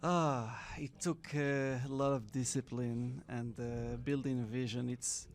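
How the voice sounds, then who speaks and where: A man from Morocco, a guest entrepreneur talking casually in an interview, halting and repeating words, laughing often. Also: medium pitch, fairly even intonation. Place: Miami.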